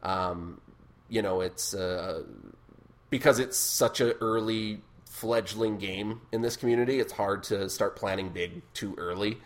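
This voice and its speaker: A man hosting a casual podcast chat, with a loud, booming voice, swearing freely and laughing often. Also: measured pace. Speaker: podcast host.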